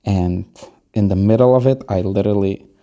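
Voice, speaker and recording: A young man who grew up in upstate New York with Yiddish as his first language, reflecting thoughtfully on his life as an interview guest, pausing often. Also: lowish voice, warm tone. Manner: slow, thoughtful, with pauses and fillers. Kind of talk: reflective personal storytelling.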